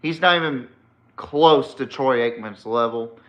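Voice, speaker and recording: A man's voice: mid-range voice, unhurried, dull audio. A man hosting a sports podcast, giving casual, opinionated commentary in a blunt, emphatic way and swearing freely.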